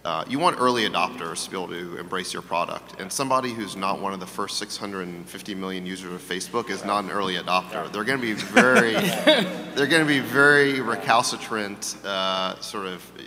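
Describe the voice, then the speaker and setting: Direct analytical judge, lowish voice. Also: male voice, a little fast, sharp tone. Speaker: conference judge. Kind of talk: panel feedback. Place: conference hall. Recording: long hall reverb, faint hum.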